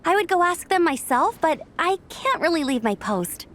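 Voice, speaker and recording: A woman's voice: extremely high pitch, big pitch swings, normal speed, heavy background noise. A woman playing a perky, friendly young contest staffer in a video game. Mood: friendly, excited. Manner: upbeat, chatty. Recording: faint music behind the voice.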